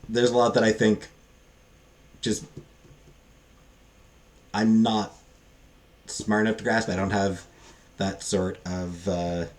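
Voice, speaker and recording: Hesitant, slightly low-voiced man reviewing casually. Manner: hesitant, halting, rambling; self-deprecating. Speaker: book reviewer. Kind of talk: casual unscripted book review.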